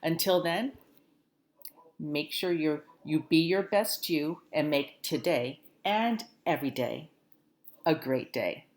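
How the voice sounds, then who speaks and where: A woman hosting a school morning greeting, speaking warmly and encouragingly to students and reading slowly and carefully. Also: sits low, expressive. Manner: warm encouraging teacherly tone, slow careful reading. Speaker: school morning announcements host. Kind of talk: friendly talk to schoolchildren.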